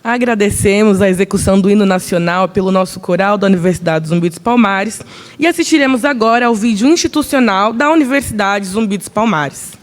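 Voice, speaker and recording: A man serving as master of ceremonies, making measured, formal announcements at a ceremony. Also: sits high. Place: large auditorium. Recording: long reverb of a large hall.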